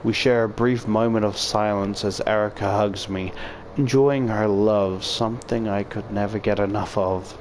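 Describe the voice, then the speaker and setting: A man's voice: lowish voice, fairly even intonation, normal speed, a little mellow, slight background noise, poor audio. A man reading a visual novel aloud and adding joking, sweary commentary. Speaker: video creator reading a game aloud.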